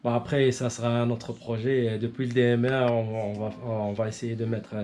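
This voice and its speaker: A man's voice: mid-range voice, flat delivery, normal speed, darkish tone. A man from Morocco, an amateur radio operator giving an informal technical demonstration while searching for words.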